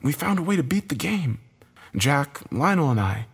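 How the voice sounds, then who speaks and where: A desperate, embittered man from an audio thriller, acted out by a male narrator. He sounds agitated and urgent, swearing freely. The voice is raised high, swings widely and has a husky edge. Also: very animated, normal speed, full character voice. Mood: pleading, urgent. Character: Josh, desperate bitter man, cornered game loser.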